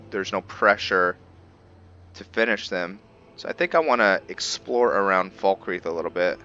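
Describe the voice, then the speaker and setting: Slow, deep-voiced man gaming. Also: sharp tone, dull audio. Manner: rambling, thinking aloud with many ums. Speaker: gaming video creator. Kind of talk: casual let's-play commentary.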